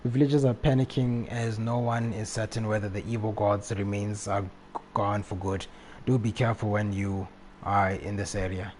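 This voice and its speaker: A man live streaming a video game, reading text aloud and commenting casually in a halting way. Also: lowish voice, level tone, darkish tone. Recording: faint background noise, game ambience.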